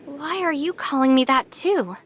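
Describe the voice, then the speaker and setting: Earnest, higher-voiced young heroine. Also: female voice, lively intonation. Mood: sincere, unsure. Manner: measured, sincere. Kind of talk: anime game voice acting.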